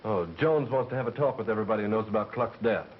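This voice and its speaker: An actor playing a casual, mildly puzzled fellow in a 1930s mystery film. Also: male voice, fairly low pitch, racing, lightly acted. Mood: puzzled, offhand. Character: casual, puzzled brother of the heroine. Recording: muffled, hissy 1930s film soundtrack.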